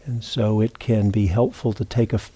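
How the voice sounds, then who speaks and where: A man teaching meditation, giving gentle, unhurried guided instructions with long pauses between phrases. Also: fairly low pitch, fairly even intonation, husky.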